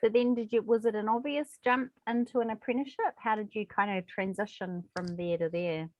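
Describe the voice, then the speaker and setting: A woman's voice: mid-range voice, steady tone, fairly quick, no room sound, muffled recording. A woman interviewer, talking casually in an effusive, encouraging way.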